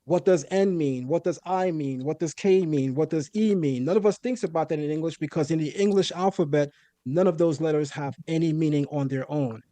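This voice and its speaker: A man raised in a Caribbean home explains a point of language step by step, often checking in with "right?". His voice sits fairly high but sounds mellow and dark. The sound is dry and close. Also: a little fast, no echo. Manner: lecturing, methodical, rhetorical "right?". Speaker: guest debater. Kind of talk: explanatory debate on Hebrew.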